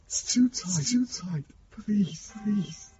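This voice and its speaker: A condemned prisoner in a radio drama, frightened and fighting for composure. He speaks slowly and haltingly, repeating himself, in slightly muffled sound with faint music behind. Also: sits high, lively intonation. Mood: panicked. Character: condemned man on the gallows, frightened, struggling to stay composed. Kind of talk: broadcast radio drama acting.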